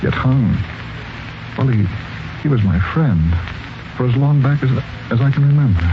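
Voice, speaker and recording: A man's voice: mid-range voice, lively intonation, moderate pace, close to an everyday voice. An actor playing an earnest, loyal son of a businessman in a 1940s radio mystery. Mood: shaken, sorrowful. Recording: muffled, noisy 1940s radio recording.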